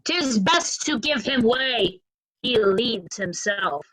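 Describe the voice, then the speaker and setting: Woman doing a cold bossy noble, slow and deliberate, highish, dry sound. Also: animated, sharp tone, no room sound, heavily acted, poor audio. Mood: cold, dismissive. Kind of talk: theatrical Shakespearean reading.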